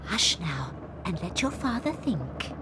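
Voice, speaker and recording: An actress playing a gentle, anxious mother fox in a dramatized children's audiobook. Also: fairly low pitch, sing-song, a little slow, noisy background. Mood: anxious, soothing.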